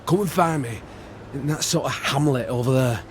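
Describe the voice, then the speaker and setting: Man as a gruff, bitter old British veteran. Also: mid-range voice, normal speed, slight background noise, natural voice. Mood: bitter, blunt. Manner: sardonic, sweary, blunt. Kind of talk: video game cutscene acting.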